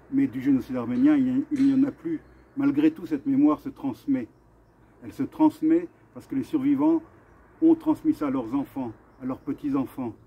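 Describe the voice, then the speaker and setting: A man hosting an earnest commemorative address to camera, speaking deliberately with halting pauses and repetitions. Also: medium pitch, slightly rough. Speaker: video host and association vice-president. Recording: dull, muffled lo-fi sound.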